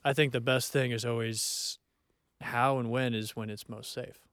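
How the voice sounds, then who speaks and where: A man's voice: medium pitch, fairly even intonation, measured pace, fairly bright tone, clean tone, no room sound, clean studio sound. A man on a podcast as a guest psychologist, giving earnest advice in a casual chat.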